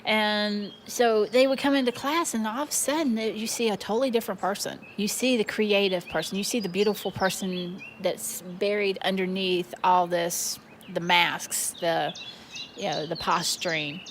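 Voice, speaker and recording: A woman's voice: medium pitch, fairly even intonation, normal speed. Reflective woman interviewed outdoors, some background noise. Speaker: interviewee, former prison art student. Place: outdoors in a park.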